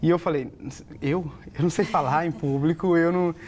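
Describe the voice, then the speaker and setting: A man's voice: a little high, steady tone, a little fast, darkish tone, a little hiss or hum. A young man with a Brazilian Portuguese accent, a theology student telling his story in a hesitant, earnest way. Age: young adult. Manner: hesitant, self-correcting, earnest. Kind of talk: casual personal testimony.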